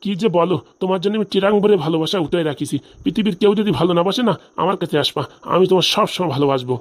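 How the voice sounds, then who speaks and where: Comic older father-in-law male actor: glutton, fawning, high-pitched, very fast, rural Bengali accent, cartoon delivery. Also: close to an everyday voice. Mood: fawning, sentimental. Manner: effusive, wheedling, rambling. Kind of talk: comedy cartoon dialogue.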